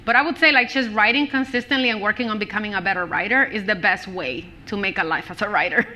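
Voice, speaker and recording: A woman author with a Dominican accent, Spanish her first language, answering audience questions at a live book event, chatty and quick to laugh. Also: medium pitch, bright, forceful tone, slight background noise. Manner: chatty, laughs often, lots of "like" and "you know".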